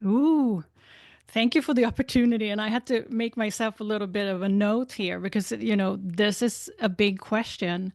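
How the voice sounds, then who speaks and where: A woman who is a non-native English speaker, talking reflectively as an interview guest in a halting, deliberate way, searching for words. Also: medium pitch, steady tone, a little mellow, no room sound, studio quality. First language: not English. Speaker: interview guest, spiritual life coach and author.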